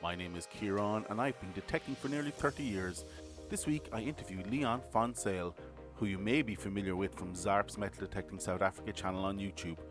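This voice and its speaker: A man hosting a podcast, asking questions in a friendly, energetic way. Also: on the low side, a little fast, music in the background. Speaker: podcast host. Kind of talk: casual podcast interview.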